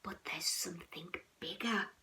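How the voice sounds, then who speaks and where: Woman as a soft, slow, gentle mother bear. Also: lowish voice, voice pushed down. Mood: reassuring. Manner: soft, soothing, patient. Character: gentle, reassuring adult female mother bear.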